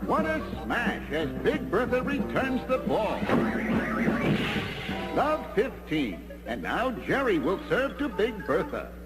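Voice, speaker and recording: A man voicing an excitable cartoon tennis commentator, calling the play in a theatrical, exclamatory manner. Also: sits high, slow, heavily acted. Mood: excited. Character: excitable male cartoon tennis match announcer. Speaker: sports commentator. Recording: music under the voice. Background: loud background music.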